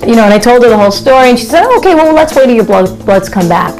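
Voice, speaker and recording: Woman telling her story over soft music. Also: a little high, lively intonation, very fast, poor audio. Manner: conversational, many fillers like "you know" and "uh". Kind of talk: personal account in an interview.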